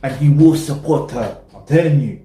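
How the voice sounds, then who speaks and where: A man from Britain talks loosely to camera, circling back on himself and tossing in fillers. His voice sits at an ordinary pitch. The room around him rings with noticeable echo. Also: moderate pace, very noisy. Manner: chatty and rambling, full of "you know what I mean". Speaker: music reaction vlogger. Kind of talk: casual reaction commentary.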